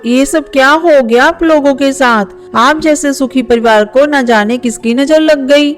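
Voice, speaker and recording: A woman playing a gentle mother bird in a children's cartoon. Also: sits high, a little slow. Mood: concerned. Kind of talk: Hindi children's cartoon dialogue. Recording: faint music under voice. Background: faint music.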